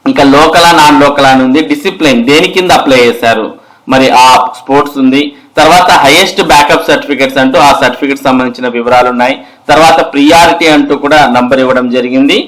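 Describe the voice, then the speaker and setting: A man's voice: medium pitch, quick pace, a bit bright, poor audio. A man explains news updates step by step in an instructional way, addressing viewers directly. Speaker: host of an education and job news channel.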